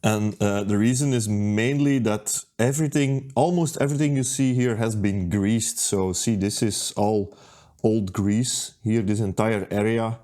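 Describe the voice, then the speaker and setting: Relaxed man explaining a repair. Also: fairly low pitch. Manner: unhurried, thinking aloud with many fillers. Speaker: repair video host. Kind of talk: casual how-to narration.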